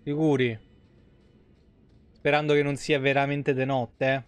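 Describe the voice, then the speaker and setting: A man's voice: mid-range voice, little pitch movement, a little slow, clean tone, dry recording, soft background music. A man, giving casual live game commentary full of slang and swearing. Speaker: game streamer. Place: indoor room at home, streaming on webcam.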